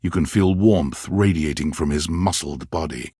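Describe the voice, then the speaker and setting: A man playing a wry, dramatic storyteller who narrates a romance video game in measured second person. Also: extremely low pitch, warm tone, slightly rough, no echo, clean studio sound.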